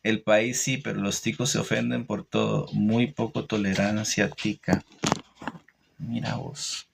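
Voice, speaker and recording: A man with a Costa Rican Spanish accent giving an informal, didactic religious talk, opinionated, pausing and repeating phrases as he goes. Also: fairly low pitch, flat delivery, gravelly, noisy background. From Costa Rica. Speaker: religious teacher hosting a livestream. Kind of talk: informal religious talk answering live comments.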